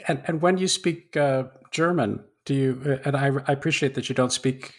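A man hosting an interview, asking curious, polite questions with some halting restarts. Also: medium pitch. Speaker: interviewer host.

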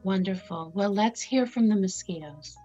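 A woman interviewer asking questions in a gracious, unhurried way. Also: medium pitch, mellow, faint music behind. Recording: dull lo-fi sound.

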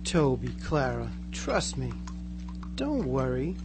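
A man's voice: medium pitch, big pitch swings, slow, noisy background. A man playing an eerily reassuring, converted small-town teenage boy in a radio drama, earnest and gushing. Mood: serene, reassuring. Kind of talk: live amateur radio drama acting.

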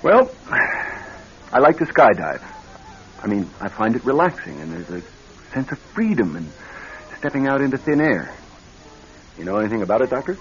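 An actor in a radio drama playing a nervous young married executive troubled by bad dreams, speaking in a halting, earnest way. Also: male voice, medium pitch, sing-song, fairly bright tone. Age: young adult. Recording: dull band-limited old radio recording.

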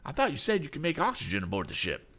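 A man voicing a fretful, excitable older man with fussy, perturbed exclamations, in a storybook. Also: fairly high pitch, a little fast, breathy edge, pitched above the performer's own voice, exaggerated voice. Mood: alarmed, desperate.

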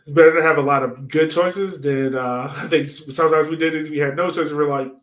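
A man's voice: on the high side, steady tone, fairly quick, fairly bright tone. Casual man in a roomy-sounding space. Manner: informal, slangy, conversational. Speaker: podcast host. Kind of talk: casual sports chat.

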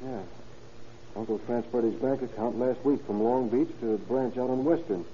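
A man's voice: medium pitch, moderate pace, warm tone, close to an everyday voice. An actor playing an evasive, easily agitated young American man under suspicion in an old-time radio crime drama, deferential but defensive. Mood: sheepish, defensive. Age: young adult. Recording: muffled, noisy vintage radio recording.

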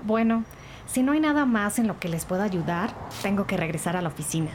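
A frank, protective social worker acted by a woman in an audio crime drama. She explains things earnestly in a mid-pitched voice. Ambient noise is clearly audible behind her. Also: brisk, lightly acted.

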